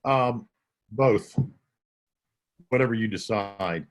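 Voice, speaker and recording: Low-voiced man with a slight Southern twang. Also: takes it slowly. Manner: conversational, with many restarts and self-corrections. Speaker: audiobook narrator and instructor. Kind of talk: conference Q&A answers.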